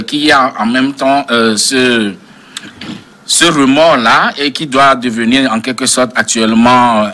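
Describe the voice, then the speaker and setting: A man's voice: medium pitch, bright, forceful tone, a little hiss or hum. A man speaking as a panel analyst in a political debate, professorial and long-winded with learned references.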